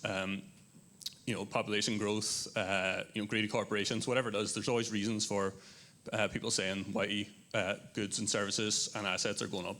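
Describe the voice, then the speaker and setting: Low, bright-toned explaining man. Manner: explanatory, leans on filler phrases. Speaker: conference panelist. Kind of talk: panel discussion. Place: conference panel stage.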